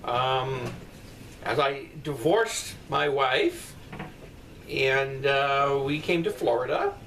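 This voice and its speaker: A man's voice: medium pitch, lively intonation, slow pace, fairly bright tone. A middle-aged man chatting casually and bluntly, answering viewer comments from his bedroom. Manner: rambling, blunt, profane asides. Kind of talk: casual comment-response chat. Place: bedroom at night, indoors. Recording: distant mic with faint room noise.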